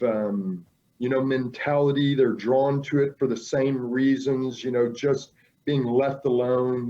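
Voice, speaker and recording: A man with a warm, mellow voice explains things at an easy pace, filling pauses with "you know". The sound is dull and lo-fi, coming through a video call. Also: medium pitch. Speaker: documentary filmmaker, guest. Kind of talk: casual interview over video call.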